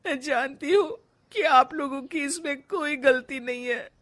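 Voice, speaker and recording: A woman's voice: sits high, measured pace, fairly bright tone. A woman playing a frustrated, reluctant young daughter-in-law in a cartoon story, with plaintive delivery. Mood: tearful despair. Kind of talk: animated moral story dialogue.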